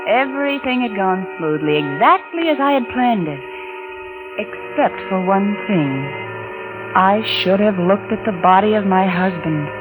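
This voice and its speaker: An actress playing a cold, scheming wife turned killer in a 1940s radio suspense drama, speaking with taunting deliberation. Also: female voice, fairly low pitch, close to an everyday voice, low-quality recording. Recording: vintage radio recording with music behind.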